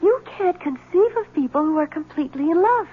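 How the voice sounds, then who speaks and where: An actress playing a devoted, intense wife in a 1970s radio drama, speaking in a crisp, proud, emphatic way. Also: female voice, very high-pitched, expressive, fairly quick, narrow, tinny audio. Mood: defiant. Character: devoted, intense young wife insisting on her story.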